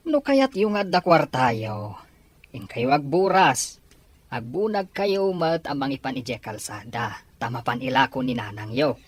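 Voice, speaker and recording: Stern farmer father, Ilocano accent, tired, radio drama, animated intonation. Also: medium pitch, unhurried, slightly rough. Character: weary, stern old farmer father with aching legs.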